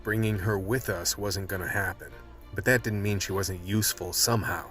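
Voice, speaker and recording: An actor playing a tough, world-weary crew leader in a radio drama, blunt, terse and streetwise. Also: male voice, low-pitched, steady tone, normal speed, fairly bright tone, soft background music. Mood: grim narrating. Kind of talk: radio drama acting with noir narration.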